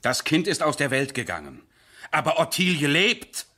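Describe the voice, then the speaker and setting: Bright, forceful impassioned baron. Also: male voice, on the high side, very animated, moderate pace, no echo, exaggerated voice. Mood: anguished, defiant. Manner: impassioned, dramatic, swinging from hushed to shouting. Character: passionate, anguished baron in love, headstrong and impulsive. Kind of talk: German radio play acting.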